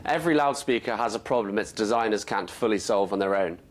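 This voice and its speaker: A man presenting a scripted product explainer in a measured, explanatory way. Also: on the low side, a little hiss or hum. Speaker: company presenter.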